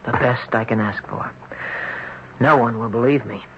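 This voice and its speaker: A man's voice: mid-range voice, moderate pace, slight background noise, lightly acted. An actor playing a desperate, haunted male accident survivor, urgent and pleading. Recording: muffled vintage radio broadcast.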